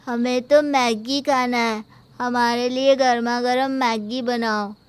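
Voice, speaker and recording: A woman's voice: on the high side, fairly even intonation, takes it slowly, clear, resonant tone. A woman voicing a demanding, entitled houseguest who grumbles, in a Hindi cartoon story.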